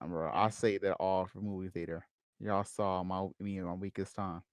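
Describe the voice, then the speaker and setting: Male panelist, lowish pitch, informal slangy delivery, casual chat, dry sound. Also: flat delivery, normal speed, clean tone, dry recording. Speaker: podcast panelist. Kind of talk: casual panel chat about games and films.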